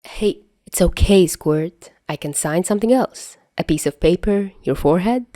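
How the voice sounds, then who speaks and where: A woman's voice: on the low side, expressive, moderate pace, dark tone, dry recording, clean studio sound, natural voice. A woman voicing a wry, fatherly grown man in an audiobook story, teasing yet patient and protective. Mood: patient, soothing.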